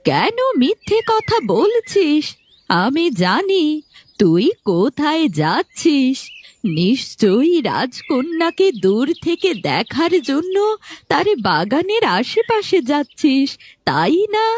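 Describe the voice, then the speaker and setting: Woman acting anxious cartoon mother, very high voice, slow lilting delivery, doting, chiding, animated intonation. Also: exaggerated voice. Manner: fretful, chiding, affectionate. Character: worried, doting village mother of a grown son. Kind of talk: animated fairy-tale dialogue. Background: music.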